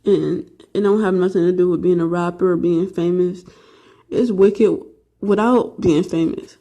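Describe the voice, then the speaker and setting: A woman's voice: lowish voice, fairly even intonation, measured pace, mellow. A woman in her twenties talking straight to camera in a casual vlog, blunt and profane, rambling with lots of fillers and emphatic repeats. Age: 20s. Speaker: vlogger and aspiring rapper.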